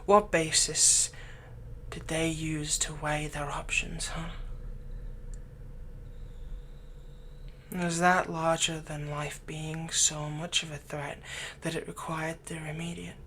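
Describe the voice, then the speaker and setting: Soft-spoken doting villain played by a man, noisy background. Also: on the high side, level tone. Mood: softly manipulative. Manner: gentle, coaxing, unhurried, hesitant pauses. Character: soft-spoken doting male villain captor, slyly manipulative. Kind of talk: intimate ASMR roleplay acting.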